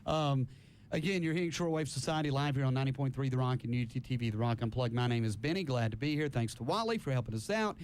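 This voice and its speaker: A man's voice: fast, darkish tone. A man hosting a live band interview on college radio, talking in an effusive, rambling, informal way. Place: radio station, live broadcast. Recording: faint rumbling background noise.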